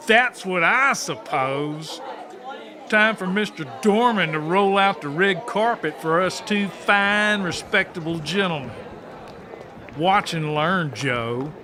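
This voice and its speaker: Cocky knife-throwing wisecracker, played by a man: high male voice, slow theatrical drawl, audiobook drama. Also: crowd noise. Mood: fed up, boastful.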